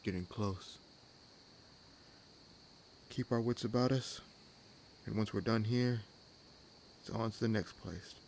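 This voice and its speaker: A man playing a wry, world-weary vampire hunter in an intimate audio roleplay, teasing and sardonic, with unhurried pauses. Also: on the low side, vocal fry, a little mellow, slight background noise. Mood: quietly resolute. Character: wry, world-weary one-armed male vampire hunting his own kind. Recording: nature ambience laid under voice. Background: forest ambience with crickets and insects.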